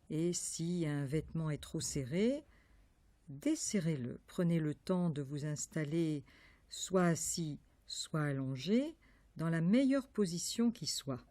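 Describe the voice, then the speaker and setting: A woman leading a guided yoga meditation, giving calm, measured instructions with pauses between phrases. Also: sits low, no echo. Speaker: yoga nidra meditation teacher.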